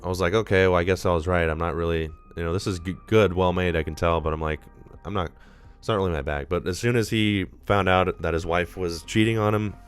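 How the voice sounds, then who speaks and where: A man's voice: low-pitched, steady tone, a little fast, clean tone, soft background music. A man hosting a live movie-review stream, talking casually and rambling, a little nasal from being sick. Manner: rambling, casual, swears freely.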